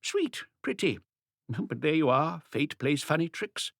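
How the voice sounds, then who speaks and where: Male narrator as old shopkeeper, higher-pitched, lively intonation, folksy, gossipy, wistful, audiobook character. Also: unhurried, vocal fry, dry recording, clean studio sound, heavily acted. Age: older. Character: chatty elderly male bird seller, obliging, reminiscing.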